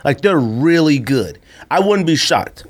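A man's voice: fairly high pitch, big pitch swings, a little slow. A man co-hosting a podcast in a casual sports debate, repeating himself for emphasis. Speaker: podcast co-host.

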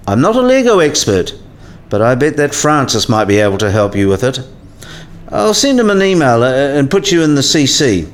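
A man playing an eccentric academic professor in a radio play, speaking in formal, measured phrases and helpful but brisk. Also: medium pitch, very animated, natural voice.

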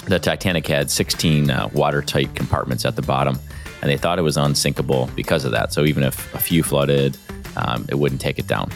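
A man hosting a faith podcast, speaking earnestly with many fillers and restarts. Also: extremely low pitch. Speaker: podcast host. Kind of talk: faith podcast intro and interview.

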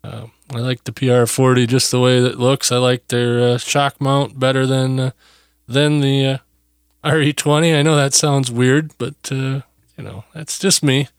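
A man hosting a podcast, giving casual equipment advice in a relaxed, rambling way full of fillers, recorded close on a boom mic in his home studio. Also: moderate pace, clean tone, no echo. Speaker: podcast host. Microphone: close studio mic on a boom arm.

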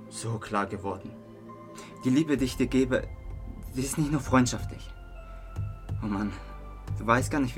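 A young man playing a lovesick, awkward, stoned partygoer in an audio drama, halting and earnest. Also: mid-range voice, natural voice. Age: young adult. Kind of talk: amateur audio drama dialogue. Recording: music behind the voice.

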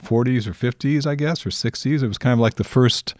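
A man's voice: mid-range voice, a little fast, a little mellow, dry recording, studio quality. A man hosting a casual interview, friendly and chatty, laughing easily as he asks questions. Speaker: interviewer, host.

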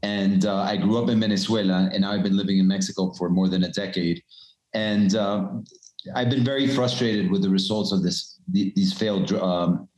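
A man from Colombia giving measured, businesslike answers as an interview guest. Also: low voice, fairly even intonation, a little mellow. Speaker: guest, cannabis investor. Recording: roomy echo.

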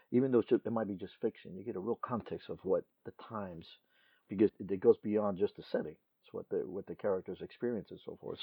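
A man's voice: on the low side, no echo. A man from Cuba, a podcast guest, talking reflectively and casually with frequent restarts. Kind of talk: casual conversation. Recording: tinny phone-like line.

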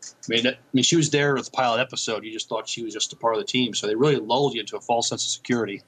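A man's voice: mid-range voice, steady tone, rapid-fire, fairly bright tone. A man co-hosting a podcast, casually discussing a show in a hesitant, thoughtful way. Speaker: podcast co-host.

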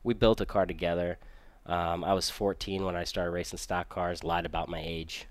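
A very low-voiced man recounting his past in an easygoing, rambling way, full of fillers. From Michigan and raised in Virginia, he sounds close and dry, with no room around him. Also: flat delivery, normal speed, no echo. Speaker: guest race car driver. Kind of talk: casual podcast interview.

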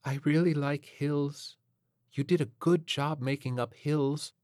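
A waifish young New Age songstress, voiced by a man, soft and airy with a lifted pitch. She croons slowly and dreamily, a spaced-out storybook character in a dry recording. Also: steady tone, no room sound, natural voice. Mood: serene.

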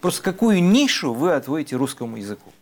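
A man hosting an interview, asking probing questions in a measured way with hesitant fillers. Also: on the high side, sing-song. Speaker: host, interviewer.

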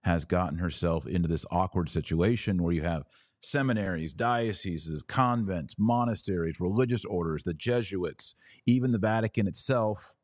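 A man hosting a religious commentary podcast, reading passages aloud and speaking in deliberate, emphatic phrases with rhetorical questions. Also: low voice, a little mellow, no room sound, clean studio sound. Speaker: podcast host and author.

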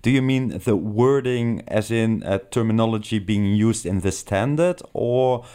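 A man's voice: fairly low pitch, measured pace, warm tone, clean tone. A man conducting a conversational interview, asking questions tentatively and rephrasing them with care.

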